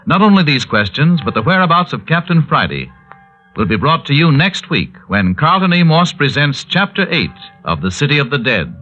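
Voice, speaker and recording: A man's voice: fairly high pitch, big pitch swings, normal speed, pushed and bright. A man announcing in a dramatic, scripted 1940s radio style. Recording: dull 1940s radio recording. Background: music.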